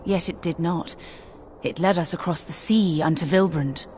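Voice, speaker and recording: A woman's voice: fairly low pitch, darkish tone, faint music behind, natural voice. An actress playing a composed, grave female scholar-investigator in a fantasy game, speaking formally and matter-of-factly in archaic phrasing. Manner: formal, measured, matter-of-fact. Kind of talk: dramatic fantasy game dialogue, archaic diction.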